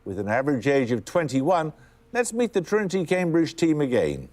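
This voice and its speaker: A man hosting a televised quiz in a crisp, dry, formal manner, reading questions. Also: fairly high pitch, big pitch swings, moderate pace, darkish tone. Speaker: quiz show host.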